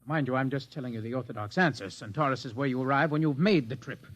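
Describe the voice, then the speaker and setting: A man's voice: medium pitch, fast, fairly bright tone, natural voice. An actor playing a frightened, indoctrinated young male scientist held captive in a 1950s radio drama, defensive and dogmatic. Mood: puzzled. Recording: old 1950s radio broadcast.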